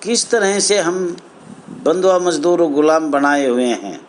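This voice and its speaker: A man with a slightly high voice addresses his fellow members as a spokesman airing grievances. He speaks very slowly, in halting phrases that circle back and repeat with emphatic appeals. Noise is clearly audible behind him in an amateur recording. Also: lively intonation. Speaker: welfare association spokesman.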